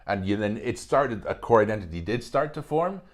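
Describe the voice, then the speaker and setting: Very deep-voiced man. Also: brisk. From Spain. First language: Spanish. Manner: animated storyteller, many fillers and restarts. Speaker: guest, actor. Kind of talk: casual podcast conversation.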